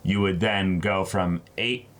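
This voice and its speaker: A man's voice: low voice, slow. A man co-hosting a show, chatting casually and playfully, often repeating himself emphatically as he makes his point. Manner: animated, repeats phrases and restarts emphatically. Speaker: co-host of an unboxing show.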